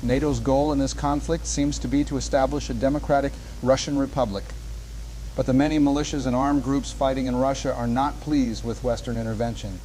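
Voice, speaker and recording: A man's voice: medium pitch, fairly even intonation, a little fast, dark tone, natural voice, poor audio. A man playing a news anchor who reads a scripted bulletin on a war crisis. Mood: grave newsreading. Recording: clear background noise.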